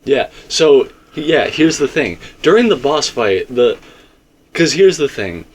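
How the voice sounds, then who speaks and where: A man's voice: mid-range voice, big pitch swings, slow, a little hiss or hum. A man in a casual theory discussion, explaining his points emphatically and circling back to them. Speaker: video game theorist.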